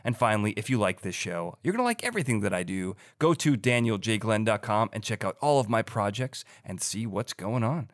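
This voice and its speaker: A man's voice: lowish voice, very animated, a little fast, few pauses. A man hosting a podcast in an effusive, talkative way, chatting and plugging the show. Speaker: podcast host. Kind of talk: chatty interview and sign-off plugs.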